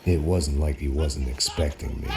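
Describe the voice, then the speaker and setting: An actor playing a world-weary, cynical middle-aged American ex-cop who narrates a crime game in a deadpan, sardonic voice. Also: male voice, very deep voice, moderate pace, vocal fry, heavy background noise. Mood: grim, dry. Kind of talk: noir voice-over narration.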